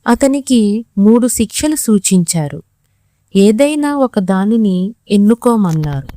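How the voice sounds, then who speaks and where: A woman's voice: medium pitch, lively intonation, measured pace, mellow, no echo. A woman narrating a scripted moral story for children, as a storyteller.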